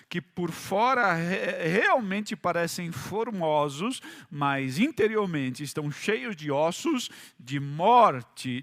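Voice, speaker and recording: A man with a Brazilian Portuguese accent, preaching a sermon as pastor, emphatic and repetitive, swinging between loud stresses and quiet drops. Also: high voice.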